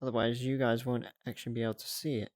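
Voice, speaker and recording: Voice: mid-range voice, level tone, fast, no echo. A livestreamer chatting casually to viewers in a giggly way, often thinking aloud. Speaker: livestreamer hosting a reaction stream.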